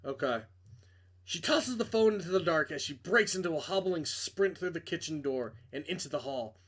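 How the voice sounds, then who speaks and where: A man's voice: on the high side, lively intonation, moderate pace, fairly bright tone, breathy edge. A man hosting a game playthrough, reading a visual novel aloud and commenting casually. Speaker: game reviewer and host.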